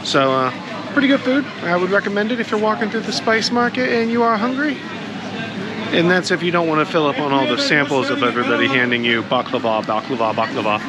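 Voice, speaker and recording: A man's voice: fairly high pitch, lively intonation, quick pace. A man chatting casually and hesitantly as a travel vlogger, in an informal, rambling way. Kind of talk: casual rambling vlog chat. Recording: very noisy outdoor urban street ambience. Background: busy market and street noise.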